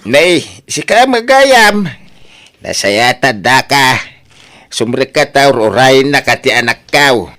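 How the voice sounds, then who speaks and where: A man's voice: mid-range voice, sing-song, takes it slowly, pushed and bright, full character voice. A man acting as a wheedling, money-minded older father in an Ilocano radio drama, with an Ilocano accent. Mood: pushy, agitated.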